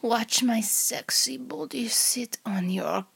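A woman acts out a domineering Russian female spy in an adult audio roleplay. The voice is husky and breathy, with a crackle of fry, often dropping to a whisper. The Russian-accented delivery is slow, teasing and full of threat. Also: fairly high pitch, a bit bright, full character voice. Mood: seductive, teasing. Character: seductive, menacing Russian female spy interrogating a captive.

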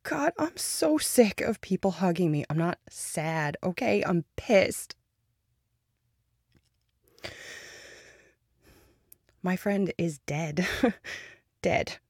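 A woman playing a grieving girlfriend, gravelly and slow. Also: fairly low pitch, lively intonation, dry recording, clean studio sound, heavily acted. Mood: angry. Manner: shifts between angry outbursts and soft whispers. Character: grieving young woman, bitter then tender girlfriend. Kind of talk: audio roleplay to a listener.

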